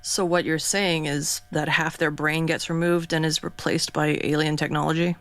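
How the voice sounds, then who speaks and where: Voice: medium pitch, flat delivery, normal speed, a bit bright, dry recording, lightly acted. Actor as a blunt, fed-up radio co-host, mid voice, dry sound with faint mains hum. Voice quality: blunt, exasperated. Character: exasperated, skeptical radio co-host.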